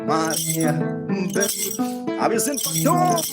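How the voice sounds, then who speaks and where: A Kenyan man, a traditional musician, singing and chatting live with his viewers, with chanting call-and-response lines and playful shouts. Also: high voice, very animated, measured pace. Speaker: traditional musician performing a livestream. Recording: loud music under the voice. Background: loud live music with rattles.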